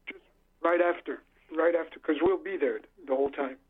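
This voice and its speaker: A man's voice: on the high side, normal speed, husky. A man, a musician and bandleader whose first language is Lingala, talking casually and a little hesitantly on a phone-in radio show. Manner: hesitant, friendly, restarts often. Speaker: musician, band leader. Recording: tinny phone line.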